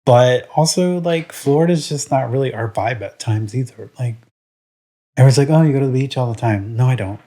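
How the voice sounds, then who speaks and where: A man from Puerto Rico co-hosting a laid-back podcast. He meanders through his thoughts with plenty of "um" and "like", yet stays blunt and opinionated, pitched at a middle level for a man. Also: brisk, mellow. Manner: rambling, full of "um" and "like"; frank and opinionated. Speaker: podcast co-host. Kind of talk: casual podcast chat.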